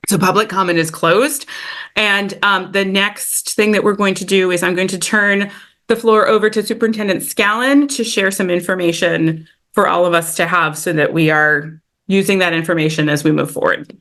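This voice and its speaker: A woman's voice: lowish voice, fairly even intonation, moderate pace, fairly bright tone. A woman chairing a public meeting over a video call, upbeat and effusive, with frequent fillers. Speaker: committee chair.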